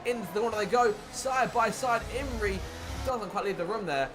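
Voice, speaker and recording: A man commentating a sim racing league, calling the action excitedly while his voice is wearing thin. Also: high-pitched, moderate pace, background noise. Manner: excited play-by-play, rising with the action. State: losing his voice. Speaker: lead commentator.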